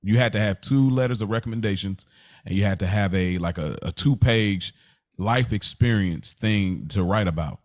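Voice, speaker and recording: American man telling stories, deep and close-miked. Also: normal speed, no room sound. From United States, Kentucky and Indiana. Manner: animated, slangy storyteller who acts out remembered conversations. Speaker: podcast host. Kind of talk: casual storytelling chat.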